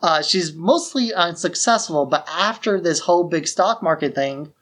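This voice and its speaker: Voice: medium pitch, lively intonation, normal speed, a bit bright. A podcast host recapping in a casual, conversational way, explaining things step by step like a storyteller. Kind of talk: casual film plot recap.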